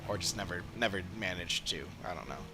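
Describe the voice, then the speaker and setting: Low-voiced man rambling over game music. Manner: rambling, halting recollection. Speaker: game streamer. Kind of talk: casual livestream chat. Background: video game music.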